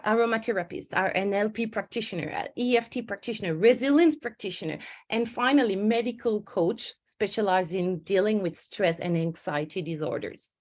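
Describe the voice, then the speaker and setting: A woman, a stress management coach giving an online webinar, speaking in an earnest, personal way and often correcting herself mid-sentence. She speaks into a home computer mic on a video call. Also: mid-range voice. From Switzerland.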